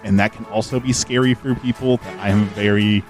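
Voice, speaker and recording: A middle-aged man with a fairly low voice talks into his desk microphone during a live game stream. He is outgoing and earnest, rambling a little with fillers and restarts. Soft game music plays faintly behind him. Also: fairly even intonation, a little fast. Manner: outgoing and extroverted. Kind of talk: casual live-stream chat answering viewers while gaming.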